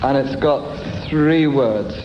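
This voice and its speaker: A man's voice: mid-range voice, slow pace. A British man bantering as a contestant in a comedy panel game, in a muffled, very noisy hall recording.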